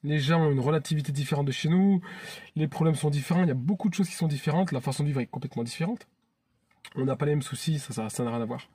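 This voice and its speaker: A man's voice: a little high, quick pace, warm tone, husky. A man in his thirties from France, hosting his own channel in an earnest, chatty vlog monologue that often restarts phrases. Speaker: YouTube channel host.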